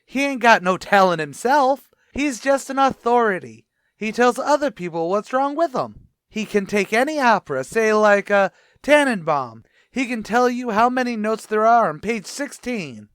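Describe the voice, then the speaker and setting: A brash, fast-mouthed tavern manager, played by a man in a radio comedy, with a high, bright voice for a man. He talks in cocky, ungrammatical tough-guy patter. The sound is dry and close, with no room around it. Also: animated, clear, resonant tone, dry recording. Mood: bossy. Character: wisecracking middle-aged male tavern manager. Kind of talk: old-time radio comedy recreation.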